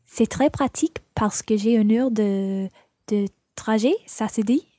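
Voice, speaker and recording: A woman's voice: on the high side, measured pace, dark tone, no room sound, studio quality. A woman playing a friendly language learner in a lesson radio play, speaking carefully and a little hesitantly. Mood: earnest, careful. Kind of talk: scripted language-course radio play dialogue.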